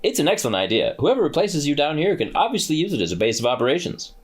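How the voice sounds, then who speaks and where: A man's voice: a little high, sing-song, quick pace, husky, voice pushed up. A man voicing a breezy, pompous male archangel who talks down to others. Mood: smug. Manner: self-assured, patronizing.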